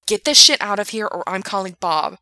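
A woman voicing a self-righteous young male park worker who shouts indignantly. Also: high voice, expressive, a little fast, no echo, studio quality, exaggerated voice.

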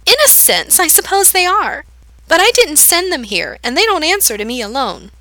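A woman reading aloud, voicing a manic girl with a sharp, sweet, high-pitched voice who taunts and teases. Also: big pitch swings, normal speed, no room sound, exaggerated voice, rough recording. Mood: taunting.

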